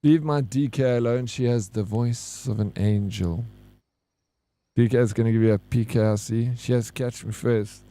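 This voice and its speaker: A man with a South African accent streams a game, trading jokes and teasing banter with his chat. His voice is mellow and dark, and it sits at an ordinary middle pitch. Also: steady tone, moderate pace. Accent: South African English. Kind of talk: casual gameplay commentary.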